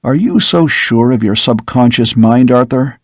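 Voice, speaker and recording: A man reading a weary older father, a doctor who speaks softly and gravely. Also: medium pitch, unhurried, no echo, clean studio sound, natural voice. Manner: soft, tired, grave. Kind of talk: audiobook character reading.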